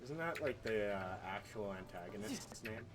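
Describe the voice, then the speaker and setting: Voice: sits low, animated, moderate pace, background noise. A game streamer giving casual, sweary, wry live commentary and talking back to the game. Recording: loud game music behind.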